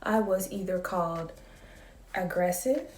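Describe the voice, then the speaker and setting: Woman, late thirties, soft voice, calm, reflective, slow delivery, echoey room. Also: on the low side, distant mic, a little hiss or hum. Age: 30s. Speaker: school psychologist. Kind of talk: casual talking-to-camera vlog.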